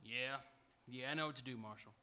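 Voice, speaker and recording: An actor playing a gallant, stubborn unarmed cowboy in a live radio Western, speaking in folksy rural style. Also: male voice, medium pitch, a little fast, pushed and bright. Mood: sheepish. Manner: folksy rural speech, polite but stubborn. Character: earnest, gallant, unarmed cowboy standing up for his sweetheart. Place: auditorium stage, live radio theatre performance.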